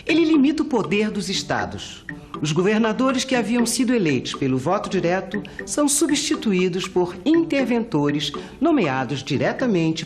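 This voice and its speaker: Brazilian woman narrating with lively intonation. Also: on the low side. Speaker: documentary narrator. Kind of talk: scripted educational history narration. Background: faint music.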